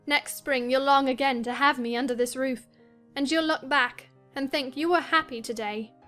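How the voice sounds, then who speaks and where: A woman's voice: high voice, steady tone, normal speed, a bit bright, faint music behind. A woman playing a frail, wistful invalid young wife in a dramatized audiobook. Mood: melancholy.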